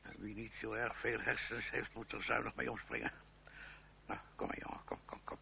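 An actor playing a gruff, fond old uncle in a radio play, halting and gently scolding, with a creaky old voice. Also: male voice, fairly low pitch, big pitch swings, exaggerated voice. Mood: fondly scolding. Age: older. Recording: noisy, muffled old radio recording.

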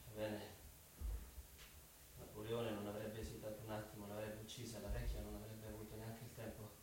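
A man's voice: fairly low pitch, monotone, brisk, close to an everyday voice. An actor playing a tormented, guilt-ridden young man in an Italian radio drama, intense and given to obsessive repetition. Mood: bleak confession. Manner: intense, obsessively repeating phrases. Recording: echoey, distant, noisy hiss with clicks.

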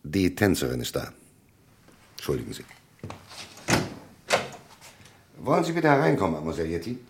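Relentless radio-drama commissioner: low, strongly modulated, formal and probing. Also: male voice, wildly swooping pitch, fast talker, a little hiss or hum, heavily acted. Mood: probing, authoritative. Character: shrewd male police commissioner, courteous but relentless interrogator. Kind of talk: German radio crime drama acting.

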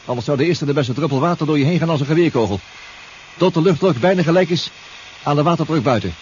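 An actor playing a protective, anxious submarine crewmate who gives careful instructions in a 1970s science-fiction radio drama. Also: male voice, fairly high pitch, lively intonation, fast talker, darkish tone, close to an everyday voice. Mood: businesslike, careful. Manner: cautioning, instructive. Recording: dull, noisy 1970s radio recording.

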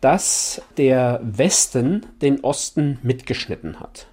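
A man explaining his work as an archive chief in an interview, in halting, hesitant speech. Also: medium pitch, expressive, mellow, husky. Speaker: head of a broadcasting archive.

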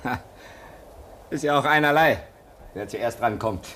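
A man's voice: mid-range voice, measured pace, fairly bright tone, a little hiss or hum, natural voice. A man playing a bitter, brooding man with a sardonic, gloomy way of talking, in a radio drama.

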